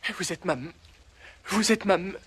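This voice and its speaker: A performer playing a dazed, flustered young man in an amateur film dub, hushed and stammering. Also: medium pitch, normal speed, slightly rough. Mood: flustered, bewildered. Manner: hesitant, stammering.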